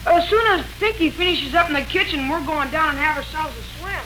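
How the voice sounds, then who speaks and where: An eager young boy with a high, childlike voice, boastful and full of slang. The delivery is 1940s radio acting, with faint noise on the recording. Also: a little fast, a bit bright, low-quality recording. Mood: cheerful, assured.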